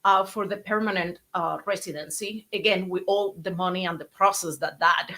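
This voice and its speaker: A woman's voice: lowish voice, moderate pace. A woman from Cuba with a Cuban Spanish accent, chatting casually on a podcast as a guest and telling stories with many fillers and restarts. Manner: animated, storytelling, frequent fillers and restarts. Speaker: guest speaker.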